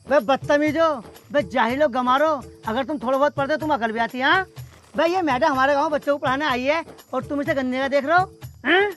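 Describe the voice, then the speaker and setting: Man acting a high-voiced, scolding village schoolmaster. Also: brisk, a bit bright. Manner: bossy, scolding, declamatory. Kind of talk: broad comedy sketch acting. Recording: background music under dialogue.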